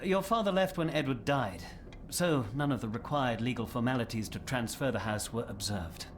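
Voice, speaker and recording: An actor playing a courteous, discreet family lawyer in a video game, speaking formally and precisely. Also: male voice, medium pitch, moderate pace, a little hiss or hum, natural voice. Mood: formal, measured.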